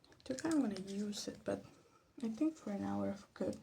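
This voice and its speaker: Woman, ASMR host: hushed, half-whispered and crackly with fry, thinking aloud, faint noise on a phone recording. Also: mid-range voice, animated, moderate pace. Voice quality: soft, breathy half-whisper with creaky fry. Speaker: vlog host. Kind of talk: ASMR casual commentary. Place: her bedroom.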